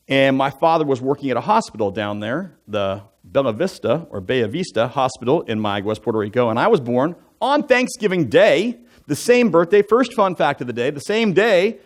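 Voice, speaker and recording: A man in his forties, an elder from the United States, telling his life story to a church congregation in a relaxed, conversational way, full of asides and jokey fun facts. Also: a little high. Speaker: church elder giving a testimony. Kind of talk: personal testimony talk from the pulpit. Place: church sanctuary.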